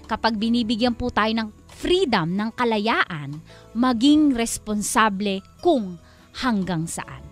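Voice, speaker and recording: A woman's voice: fairly high pitch, animated, slow. A woman with a Filipino accent co-hosting a radio advice talk in an emphatic, colloquial, admonishing style. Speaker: radio co-host. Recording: music playing behind the voice. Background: background music.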